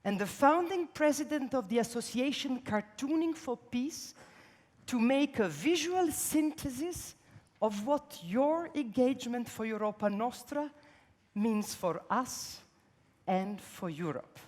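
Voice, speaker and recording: A woman's voice: on the high side, expressive, a little slow, a little mellow. A woman presenting an awards ceremony on stage, speaking in a poised, formal manner to her guests. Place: large theatre stage. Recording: long hall reverb from a theatre stage.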